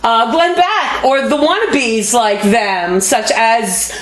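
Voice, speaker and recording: Woman activist vlogger, higher register, loud and indignant, unscripted fundraising pleas, roomy homemade sound. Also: takes it slowly. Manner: emphatic, indignant, folksy direct address. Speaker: activist video host and fundraiser. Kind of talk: impassioned unscripted appeal to viewers. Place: motel room. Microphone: small video camera. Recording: echoey room, homemade camera audio. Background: background music.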